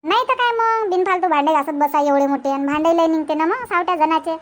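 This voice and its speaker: Eager young village daughter, cartoon comedy, female performer: extremely high, chirpy childlike voice, Varhadi Marathi accent. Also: quick pace. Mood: chatty. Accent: Varhadi Marathi (Vidarbha). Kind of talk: homemade cartoon comedy dub.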